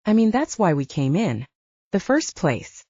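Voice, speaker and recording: A woman's voice: medium pitch, big pitch swings, unhurried, warm tone, husky, no echo, lo-fi sound, full character voice. A woman voicing a curious, earnest adult heroine in a paranormal romance audiobook, candid and questioning. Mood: anxious, insistent.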